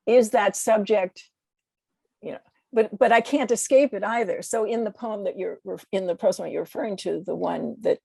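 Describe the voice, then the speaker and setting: An American woman speaking as a guest poet in a reflective interview, halting and searching for words as she corrects herself. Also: mid-range voice, vocal fry, dark tone, slightly rough, no room sound. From United States.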